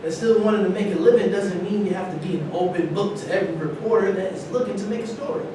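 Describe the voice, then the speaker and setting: A man's voice: fast talker, crowd noise, close to an everyday voice. An actor playing a skeptical, needling man with a challenging way of talking in a staged play reading. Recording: echoey room, distant mic, rumbly background noise.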